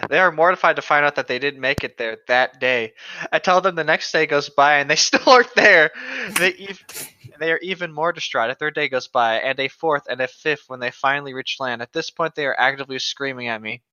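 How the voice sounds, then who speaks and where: A man's voice: on the high side, fairly quick, bright, forceful tone, clear, resonant tone, no echo. A man co-hosting a podcast, casually reading a story aloud on a dull, lo-fi microphone. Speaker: podcast co-host.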